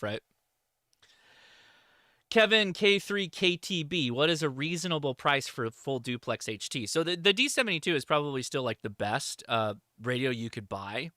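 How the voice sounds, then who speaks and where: A man's voice: medium pitch, bright, forceful tone, dry recording, studio quality. A man hosting a livestream, answering chat questions in a casual, informal, enthusiastic hobbyist way.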